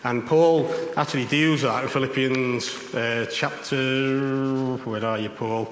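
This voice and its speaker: A man preaching an informal sermon in a rambling, conversational way, his voice a little croaky. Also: medium pitch, steady tone, measured pace, reverberant, big-room sound. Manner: conversational, rambling with many fillers. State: slightly croaky voice.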